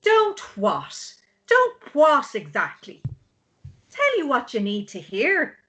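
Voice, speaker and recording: Slow, high-voiced exasperated sister, acted by a woman. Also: wildly swooping pitch, fairly bright tone, exaggerated voice. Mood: angry, exasperated. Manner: blunt, pleading. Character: exasperated, blunt, worried sister of a grieving drunk. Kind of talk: drama script table read.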